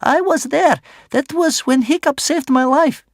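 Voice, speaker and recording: A narrator voicing a small, excited wanderer child who calls out loudly. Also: high-pitched, a little slow, no echo, clean studio sound, voice pushed up. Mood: excited, grateful. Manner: calling out loudly.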